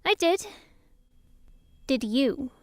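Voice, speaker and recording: A woman's voice: high voice, animated, very slow, darkish tone, no echo, full character voice. A woman voicing a young orphaned princess in an audio drama, earnest and childlike, hurt yet resolute. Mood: eager, curious.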